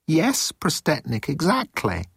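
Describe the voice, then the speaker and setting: A man's voice: fairly high pitch, huge pitch swings, measured pace, creaky, a bit bright, slightly rough, dry recording, studio quality, voice pushed up, heavily acted. A man voicing a timid young alien crewman, anxious and miserable, in an audiobook story. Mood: nervous. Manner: miserable, anxious, blurting protests.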